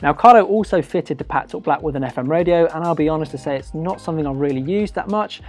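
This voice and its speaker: A man's voice: a little high, fast talker. A British man presenting a product review in an informal, chatty way with emphatic stresses. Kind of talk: semi-scripted video product review, conversational. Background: background music.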